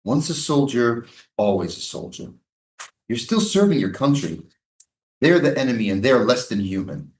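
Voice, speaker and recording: A man's voice: medium pitch, sing-song, moderate pace, a little mellow, lightly acted. A man in a staged play reading, playing a defensive, sardonic American military prison guard. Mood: stern, suspicious. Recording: roomy, echoing live staged reading.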